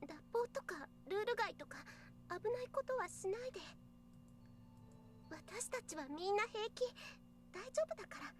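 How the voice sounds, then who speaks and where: Gentle childhood-friend girl for a visual novel: extremely high voice, quick, anxious, pleading delivery. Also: animated, faint music behind. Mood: worried, pleading. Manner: earnest, pleading, hesitant. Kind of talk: Japanese visual novel voice acting.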